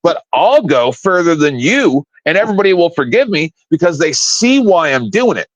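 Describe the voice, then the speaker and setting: A man's voice: a little high, very animated, normal speed, dry recording. A man in his fifties, a panel guest on a live stream, ranting in a blunt, profane, confrontational way from home. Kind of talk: casual live-stream rant. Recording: dull, lo-fi, dry stream audio.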